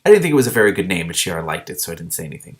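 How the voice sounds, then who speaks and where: Male story reader, lowish pitch, expressive narration with informal asides. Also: rapid-fire. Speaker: host reading a novel aloud with commentary.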